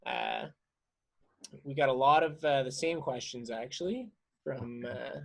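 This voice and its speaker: A man hosting a casual interview, putting his questions in a halting, deliberate way. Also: medium pitch, vocal fry, fairly bright tone.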